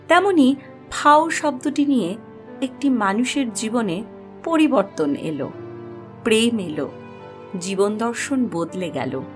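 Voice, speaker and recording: A woman narrating a scripted story as its host, in an expressive storytelling style with music underneath. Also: fairly high pitch.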